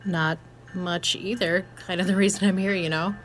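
Wry, easygoing young female techie played by an actress for audio drama; lower register, blunt, over background ambience. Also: steady tone, normal speed, a little hiss or hum, close to an everyday voice. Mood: breezy, casual. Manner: casual, slangy, dryly joking. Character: laid-back, wry, blunt young hardware tech. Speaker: voice actor. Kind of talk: naturalistic audio drama dialogue.

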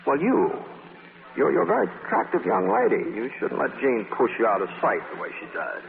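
Wry, hesitant magazine writer, old radio. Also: male voice, mid-range voice, wildly swooping pitch, brisk, creaky, heavily acted. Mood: teasing, curious. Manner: hesitant, drawling, repeating himself. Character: wry, charming male magazine writer, adult. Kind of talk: 1940s radio acting. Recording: muffled, crackly 1940s radio recording.

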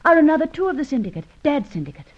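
On a lo-fi radio serial recording, a keen young confidante. Her high voice is animated and refined. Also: racing. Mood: keen, surprised. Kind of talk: old radio serial acting. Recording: dull, lo-fi old radio recording.

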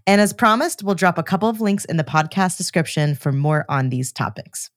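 A woman presents on a podcast in a slightly low voice. The sound is dry and close. She moves between scripted reading and casual, expressive banter. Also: brisk, no room sound. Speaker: podcast co-host.

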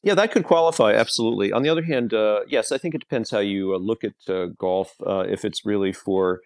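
A middle-aged man with a very low, gravelly voice, answering interview questions for a podcast. He talks in a measured way and often pauses on "uh". The sound is dry and close. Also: no echo. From Europe. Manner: measured, frequent "uh" fillers. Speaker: leadership consultant, guest.

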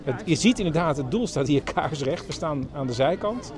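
A man's voice: a little high, animated, normal speed. A man hosting a podcast, casually reporting on the spot. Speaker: podcast host. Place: mostly outdoors at a small football ground. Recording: outdoor football crowd, music behind.

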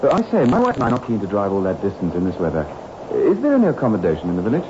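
An actor playing an urbane, confident writer and husband, polite and a little patronising, in an old radio drama. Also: male voice, lowish voice, huge pitch swings, very fast. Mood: polite, enquiring. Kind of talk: 1960s radio drama acting. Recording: very noisy, muffled old radio recording.

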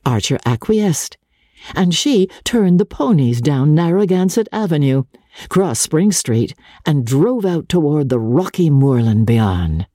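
A woman giving a measured, expressive and theatrical reading of literary fiction as an audiobook narrator. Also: deep voice, dry recording, clean studio sound. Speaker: audiobook narrator and radio presenter.

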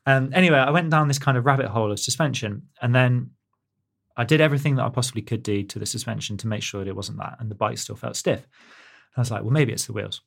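A man in a relaxed technical chat, talking at a middling pitch. He wanders, restarts his sentences and pokes fun at himself as he works through an explanation. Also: quick pace, creaky. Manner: rambling, self-deprecating, many fillers. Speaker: technical editor, co-host.

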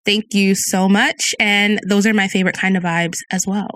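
A woman hosts her podcast solo in a warm, chatty way, like catching up with a friend. Her voice is soothing, with a slight speech impediment, and sits in the middle of a woman's range. The recording is dry and close, with no room sound. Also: medium pitch, fairly even intonation, moderate pace, no echo. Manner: friendly, conversational, reads listener reviews aloud. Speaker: podcast host.

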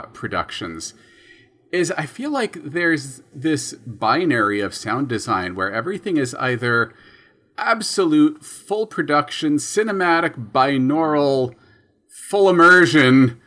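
A man chatting casually as a podcast guest about sound design, animated and laughing between phrases. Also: fairly high pitch. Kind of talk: casual chat.

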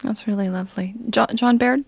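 A woman's voice: a little high, brisk, creaky, a little mellow, husky, no echo, studio quality. A woman with a Canadian accent hosting a political interview programme as a broadcast interviewer. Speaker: radio host and interviewer.

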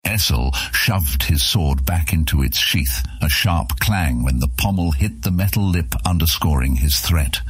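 A man with a deep, husky voice reading scripted fantasy audiobook narration. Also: no room sound, studio quality.

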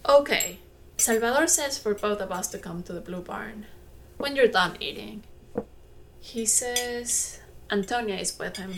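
A woman's voice: medium pitch, measured pace, vocal fry, background noise. An actress playing a kindly, middle-aged, motherly farm woman in a naturalistic audio drama. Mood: gentle.

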